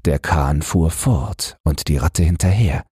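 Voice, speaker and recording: A man reading a fairy tale aloud as a calm bedtime audiobook. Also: rumbling low, husky, dry recording, clean studio sound. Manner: slow, soothing and even. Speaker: audiobook reader. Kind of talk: calm bedtime story narration.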